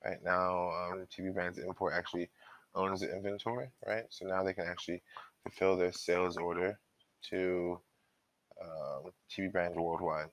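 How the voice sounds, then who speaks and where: A man giving an informal step-by-step software walkthrough as a trainer, explaining and thinking aloud as he goes. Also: low voice, little pitch movement, moderate pace.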